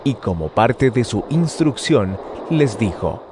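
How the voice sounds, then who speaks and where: Animated male Bible narrator over noisy ambience. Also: mid-range voice, takes it slowly. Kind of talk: scripted narration of a dramatized Bible. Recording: noisy outdoor ambience effects behind. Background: outdoor sound effects.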